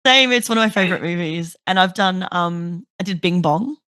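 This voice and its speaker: Woman host, deeper voice, chatty and sweary, dry sound. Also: moderate pace, clean tone, dry recording. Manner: chatty, swears freely, animated storytelling. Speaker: podcast host. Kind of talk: casual chat.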